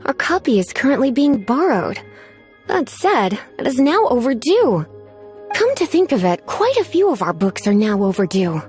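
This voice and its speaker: A woman's voice: fairly high pitch, big pitch swings, normal speed, darkish tone, soft background music. A woman playing a coy, languid adult female librarian in an anime game, teasing and sweetly menacing as she drawls. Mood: annoyed, weary.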